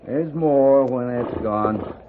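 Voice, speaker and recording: A man's voice: mid-range voice, slow pace, narrow, tinny audio, close to an everyday voice. An actor playing a suspicious horse thief guarding his homestead, with an American accent and curt rustic speech, in a 1950s radio western. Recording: noisy band-limited old radio recording.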